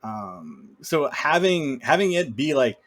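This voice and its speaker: Male, mid-pitched, wry and opinionated, informal film-podcast discussion. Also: big pitch swings, takes it slowly, a bit bright.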